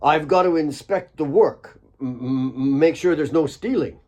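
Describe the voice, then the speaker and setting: A man's voice: a little high, measured pace, dark tone, voice pushed up. A man voicing a gangly, nervous male mine warden in an audiobook, stammering and evasive. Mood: nervous evasion. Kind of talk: audiobook character dialogue.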